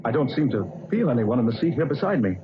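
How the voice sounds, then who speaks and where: A polite, easily rattled wealthy gentleman, played by a man in a vintage radio play. His voice sits in a middle pitch. The recording is muffled and buried in heavy hiss. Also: sing-song, quick pace, natural voice. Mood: nervous. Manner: polite, flustered, proper. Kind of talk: 1940s radio acting.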